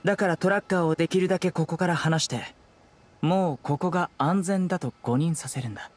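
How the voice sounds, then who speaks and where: A man playing a stern, calm young investigator in an anime game, speaking bluntly with composure. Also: fairly high pitch, brisk, faint music behind, lightly acted. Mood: calm, resolute. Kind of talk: Japanese anime game voice acting.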